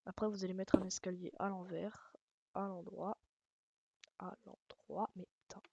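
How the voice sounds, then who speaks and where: A woman's voice: fairly low pitch, little pitch movement, normal speed, gravelly, husky, dry recording, muffled recording. A woman with a light Alsatian accent giving a rambling, casual game tutorial, swearing and making self-mocking jokes. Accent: Alsatian French. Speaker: gaming channel host. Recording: loud background noise.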